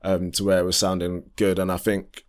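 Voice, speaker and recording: A young man, a musician chatting casually in an interview, relaxed and full of fillers and restarts. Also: normal speed. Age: young adult. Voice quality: deep voice. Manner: relaxed, rambling, many fillers and restarts, laughs often. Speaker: independent musician guest.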